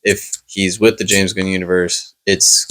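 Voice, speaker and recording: A man's voice: low voice, little pitch movement, unhurried, a bit bright. A man chatting casually about superhero movies, rambling with lots of fillers. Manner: rambling, hesitant with many fillers. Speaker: podcast host.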